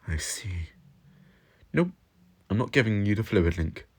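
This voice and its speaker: A courteous, principled male time traveller acted by a reader, who muses and stammers over his words yet stays firm. The voice sits a little above the reader's low narration, in an audio story style. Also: sing-song, unhurried, darkish tone, exaggerated voice. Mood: musing. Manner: stammering, musing, firmly moral.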